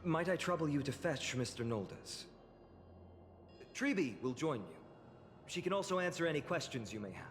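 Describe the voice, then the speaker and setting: An actor playing a noble, earnest young hero in a fantasy game, composed and measured in his speech. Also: fairly high pitch, very animated, long reverb tail, soft background music. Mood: earnest, grateful. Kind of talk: polished video game voice acting.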